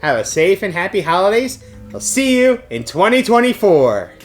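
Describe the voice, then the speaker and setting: A man presenting an informal showcase to camera, emphatic, with halting pauses between phrases. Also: high voice, very animated, soft background music. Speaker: presenter of a game store's monthly news segment.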